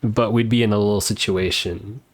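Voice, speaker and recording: A man's voice: on the low side, steady tone, moderate pace. A man giving casual live commentary on a game, laid-back, wry and joking. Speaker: game streamer.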